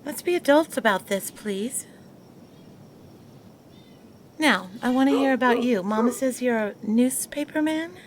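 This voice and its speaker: A woman's voice: on the high side, moderate pace, slight background noise, lightly acted. An actress playing a proper, married 1920s woman who defends herself and scolds her former lover. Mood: defensive. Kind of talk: 1920s period audio drama.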